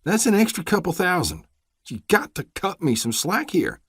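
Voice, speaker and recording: A man's voice: high voice, sing-song, normal speed, breathy edge, no room sound, clean studio sound, voice pushed up, heavily acted. A man voicing a frightened rural man who pleads in a quavering voice. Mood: pleading.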